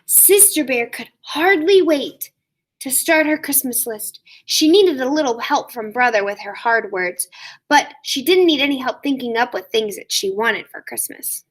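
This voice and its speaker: Lady teacher doing storytime for little kids, high voice, really slow and expressive. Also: poor audio. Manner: expressive, asks listeners questions, drawn-out emphasis. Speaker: first grade teacher reading to children. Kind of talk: read-aloud storytelling for young children.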